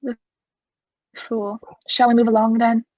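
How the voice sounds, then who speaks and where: A woman acting a coolly scheming aristocrat in a radio play, formal and measured, feigning friendly concern. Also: fairly high pitch, flat delivery, a little mellow. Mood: quietly menacing. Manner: formal, measured, slyly solicitous. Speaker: student actor in a radio play. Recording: echoey, slightly muffled recording.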